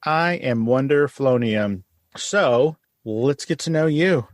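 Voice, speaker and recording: A man's voice: medium pitch, expressive, slow pace, no room sound, studio quality. A man from Texas hosting a casual podcast interview, jokey and self-deprecating. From San Antonio, Texas. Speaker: podcast host.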